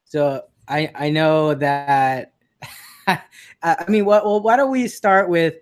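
A man's voice: a little high, fairly even intonation, slow pace, noisy background. A man co-hosting a comedy podcast, talking in a playful, teasing way and often breaking into giggles. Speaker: podcast co-host. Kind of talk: casual comedic chat.

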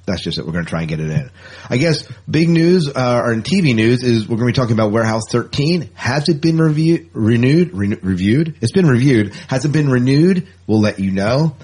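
A man's voice: medium pitch, expressive, brisk, a little mellow, slightly rough. A man co-hosting a casual sci-fi fan podcast, rambling and jokey, often repeating words for emphasis. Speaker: podcast co-host.

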